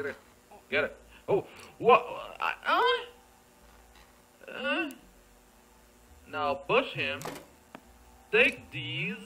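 A man's voice: high-pitched, pushed and bright. A man giving casual, off-the-cuff game commentary, muttering playfully to himself, repeating phrases and exclaiming as he plays. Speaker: gamer recording a let's play.

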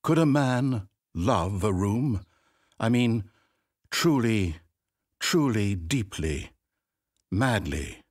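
An actor voicing a game's male narrator, speaking in a measured, wry and theatrical way. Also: on the low side, animated, a little mellow, slightly rough, no room sound, exaggerated voice. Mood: gushing. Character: dry, wry male storybook narrator of a game. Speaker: game narrator. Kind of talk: scripted narration. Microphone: studio microphone. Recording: dry close studio recording.

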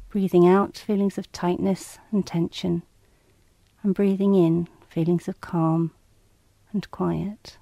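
A woman reading scripted guided relaxation instructions in a calm, measured, soothing and slightly clinical manner. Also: lowish voice, no room sound.

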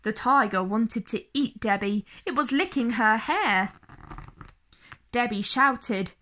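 A woman reading a children's story aloud in an expressive way, phrasing each line clearly and deliberately for young listeners. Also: fairly high pitch. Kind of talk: slow, expressive picture-book read-aloud.

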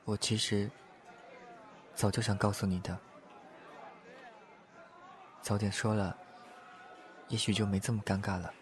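A man playing a gentle, reserved young man in an audio drama, speaking candidly and softly in measured, short phrases. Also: lowish voice, level tone, close to an everyday voice. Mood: resigned, candid. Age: young adult. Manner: soft-spoken, measured, pausing between short phrases. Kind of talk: Chinese audio drama acting, confessional. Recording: music and crowd chatter behind voice. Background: music and crowd.

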